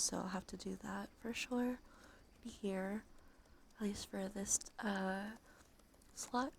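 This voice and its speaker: A woman livestreamer talking casually in a soft, breathy whisper with vocal fry, in an informal ASMR game stream style. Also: fairly high pitch, a little hiss or hum. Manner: sweary, informal. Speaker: livestreamer and host.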